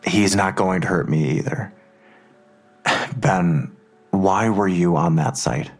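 An actor playing a worried, protective father in an audio drama, husky and earnest, reassuring but insistent. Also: sits low, slow pace, faint music behind, heavily acted.

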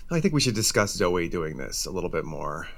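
An actor playing a fretful, highbrow musical writer in an audio comedy, hesitant and anxious. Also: male voice, sing-song, brisk, gravelly, background noise, heavily acted. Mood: reluctant, uneasy. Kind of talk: comedic audio drama acting.

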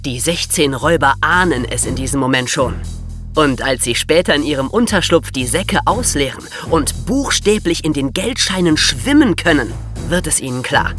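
A man's voice: fairly high pitch, animated, normal speed, a bit bright, faint music behind. A young man playing an eager, playful podcast co-host who tells crime stories dramatically in a scripted children's show. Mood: dramatic.

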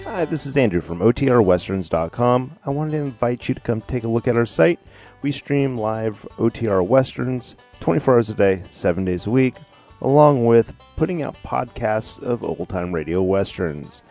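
A man's voice: medium pitch, expressive, normal speed, warm tone, soft background music. A man reading a friendly scripted promo as an announcer.

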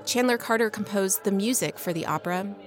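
A woman's voice: medium pitch, a little fast, faint music behind. A woman reporter reading a scripted radio news feature.

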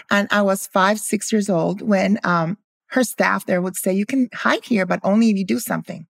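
A mature woman from Guatemala tells stories as an interview guest. Her mid-pitched voice has noticeable creak, and the recording is close and dry. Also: dry recording. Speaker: guest, food historian and cookbook author. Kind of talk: interview storytelling.

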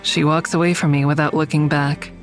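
A woman's voice: sits low, little pitch movement, a little fast, soft background music, natural voice. A woman playing a guilt-ridden, weary heroine who narrates her own story in an audio drama, blunt and subdued. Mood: weary, pressing. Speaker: narrator and lead actor. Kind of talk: audio drama first-person narration and dialogue.

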